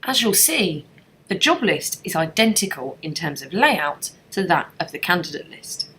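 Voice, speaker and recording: A woman narrating a scripted software tutorial as a trainer, explaining things step by step in an instructional way. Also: lowish voice, sing-song, normal speed, a little hiss or hum, rough recording.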